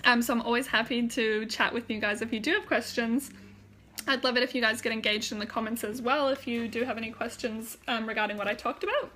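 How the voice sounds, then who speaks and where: A woman's voice: on the high side, fairly even intonation, fairly quick, sharp tone. A woman whose first language is German, chatting casually and rambling to viewers on a live stream, with frequent fillers and restarts. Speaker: wellness vlogger.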